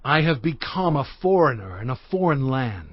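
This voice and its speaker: An actor playing Moses in a dramatized audio Bible, an earnest, resolute leader of his people with a husky, declamatory voice. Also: mid-range voice, sing-song, normal speed, no room sound, lo-fi sound. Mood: fervent. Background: music.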